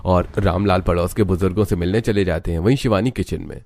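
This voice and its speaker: A man's voice: low voice, quick pace, darkish tone. A man narrating a scripted cartoon story.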